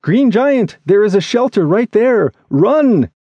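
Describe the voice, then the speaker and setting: A man voicing an excitable, weary and earnest male hiker friend in dramatic, pleading audiobook dialogue. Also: sits high, normal speed, dry recording, muffled recording, pitched above the performer's own voice, heavily acted. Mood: urgent. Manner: exclamatory, pleading, questioning. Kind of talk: acted dialogue in audiobook memoir.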